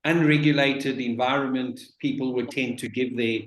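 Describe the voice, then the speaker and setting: A middle-aged man giving an informal webinar presentation about his business, with a halting, pausing delivery. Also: medium pitch, steady tone. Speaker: real estate business owner, panelist. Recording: roomy, echoing sound.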